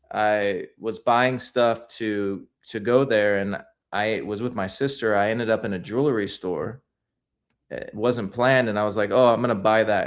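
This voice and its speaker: A man being interviewed as a guest filmmaker, telling his stories in a casual, rambling way with lots of restarts. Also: fairly low pitch.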